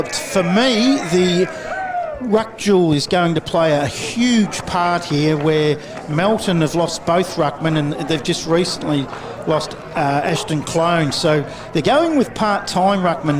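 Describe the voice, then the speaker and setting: An Australian man leads the football commentary at an outdoor ground, brisk and fluent as he rattles off teams and scores. His voice sits fairly high, and a crowd is clearly heard behind him. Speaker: lead commentator. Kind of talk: sports commentary.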